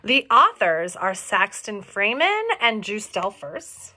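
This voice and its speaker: Woman, middle-aged, lively swooping pitch, bright tone, picture-book read-aloud, simple explanations for kids. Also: takes it slowly. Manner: expressive, questioning, explaining to children. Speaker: read-along storyteller for young children. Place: small room at home.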